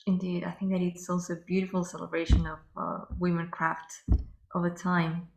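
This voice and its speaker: A woman's voice: fairly low pitch, flat delivery, moderate pace, gravelly, reverberant, low-quality recording. A woman moderating a webinar on a video call, politely reading out audience questions with some hesitation.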